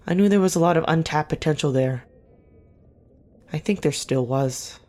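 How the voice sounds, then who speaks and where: An actor playing a weary, guarded veteran team leader in an audio drama, speaking earnestly and haltingly with dry wit. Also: medium pitch, darkish tone, soft background music, natural voice. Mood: reflective. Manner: earnest, halting, self-correcting, dryly wry. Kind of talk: naturalistic audio drama dialogue.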